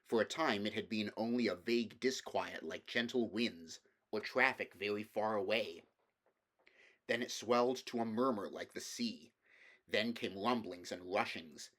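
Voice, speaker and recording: A man's voice: on the low side, measured pace, a bit bright. A man reading a fantasy novel aloud as scripted storybook narration. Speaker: amateur audiobook reader.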